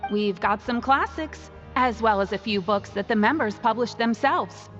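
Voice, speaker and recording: A woman's voice: on the high side, moderate pace, a bit bright, in a large hall, lo-fi sound, faint music behind, natural voice. An actress playing a friendly, content female prisoner in a video game, explaining things in an easygoing way. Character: friendly, content female prisoner and club member tidying books. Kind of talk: video game dialogue acting.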